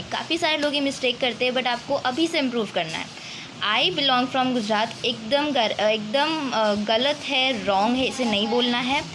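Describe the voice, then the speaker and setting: A woman with an Indian accent teaching a language lesson to viewers as channel host, informal and instructive, repeating key phrases for emphasis. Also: high voice. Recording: clear background noise.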